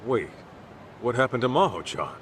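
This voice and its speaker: An actor playing a gruff, blunt middle-aged detective with a wounded heart and a halting delivery, in a video game cutscene. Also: male voice, medium pitch, very animated, slight background noise, lightly acted. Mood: serious. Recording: studio voice with music behind.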